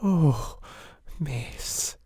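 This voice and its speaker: Male reader as young servant girl, working-class English accent, timid, stumbling, lifted lively pitch, dry sound. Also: drawn-out words, husky, no room sound, heavily acted. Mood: grateful, shy. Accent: working-class English dialect. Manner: stammering, deferential.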